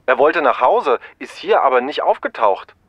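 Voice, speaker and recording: A man's voice: medium pitch, sing-song, normal speed, dry recording, pitched above the performer's own voice. A man voicing a worried, polite father through a telephone filter in a children's story. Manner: concerned, polite. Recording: muffled telephone-style band.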